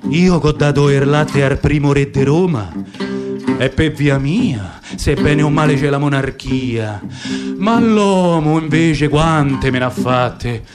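A man voicing a slow, indignant she-wolf with a Roman accent. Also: fairly high pitch. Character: indignant old she-wolf, proud nursemaid. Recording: guitar music behind.

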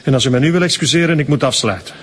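An actor with a Flemish accent playing a prim, devout church sexton in a radio drama, formal, evasive and curt. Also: male voice, mid-range voice, racing, a bit bright, slight background noise, lightly acted. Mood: dismissive.

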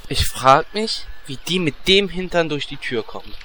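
A man's voice: on the high side, animated, moderate pace, bright, forceful tone, a little hiss or hum. A man voicing a crude, wisecracking male adventurer who makes sardonic comments.

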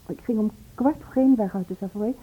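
A woman's voice: medium pitch, quick pace, slightly rough, close to an everyday voice. An actress playing a hesitant, loyal woman who is wary of trouble, understated, in a radio play. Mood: recounting. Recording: dull, band-limited old radio play recording.

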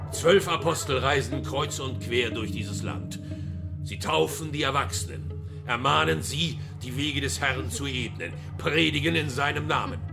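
An earnest religious radical, played by a man in a radio play. He preaches with visionary conviction in a medium-pitched voice. Music runs clearly behind the speech. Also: close to an everyday voice. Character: zealous male radical prophet, persuasive and visionary. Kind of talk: German radio drama acting.

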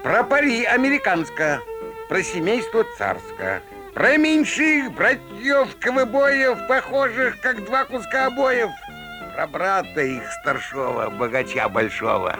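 A man playing a theatrical fairground peepshow barker, telling rhymed folk tales in a playful, drawn-out sing-song declamation. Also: high-pitched, sing-song, music in the background, full character voice. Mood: showy, playful. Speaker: narrator, fairground showman. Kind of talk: rhymed Russian folk-tale showman patter.

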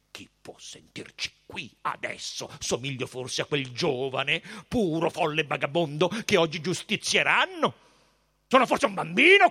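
A man's voice: on the high side, bright, forceful tone, husky, heavily acted. A man voicing a haughty, menacing Roman procurator in a storybook, imperious and emphatic in manner. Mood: quiet menace, then scornful, threatening.

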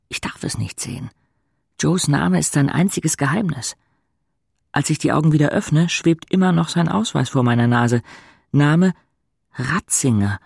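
A man's voice: on the high side, creaky, a little mellow, husky, no room sound, clean studio sound. A man acting as a brooding, wry teenage boy who narrates his inner thoughts tersely in a radio drama. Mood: wry, confiding. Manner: introspective, terse. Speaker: first-person narrator.

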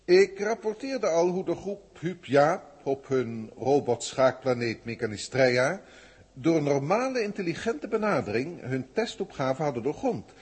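Pedantic alien professor, Netherlands Dutch, highish and very husky, 1960s radio drama style. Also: male voice, sing-song, unhurried, a little mellow, heavily acted. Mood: formal, reporting. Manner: formal, pedantic, theatrical delivery. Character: haughty, learned alien senior scientist filing reports.

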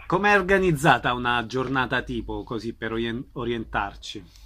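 A man's voice: medium pitch, normal speed, bright, forceful tone. A man working as an interviewer, putting questions to a guest in a conversational broadcast style. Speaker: radio interviewer.

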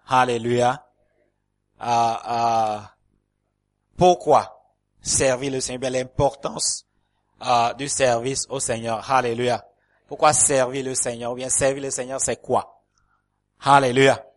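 A man's voice: medium pitch, fairly bright tone. A male pastor preaching a sermon to a congregation in a church hall. He speaks French as a second language, with fillers and repeated words, and breaks up his phrases with calls of alleluia.

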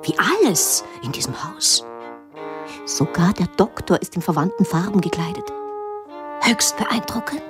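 An impressionable, genteel mother in a radio drama. She speaks slowly and hesitantly with wide swings of intonation, over clearly audible music. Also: on the low side, very animated. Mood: hushed, impressed. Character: genteel, impressionable wife and mother, middle-aged. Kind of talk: German radio drama acting. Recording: music behind the voice. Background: instrumental music.

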